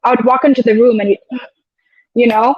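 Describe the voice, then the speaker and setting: Woman guest, high voice, dull dry sound. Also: steady tone, brisk, breathy edge, no room sound. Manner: reflective, storytelling with quoted asides. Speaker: guest, air traffic control officer. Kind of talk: casual interview chat.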